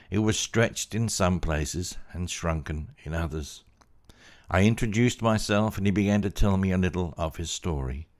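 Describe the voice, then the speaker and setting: A man narrating a first-person story in a measured, plain-spoken way. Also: sits low. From Australia.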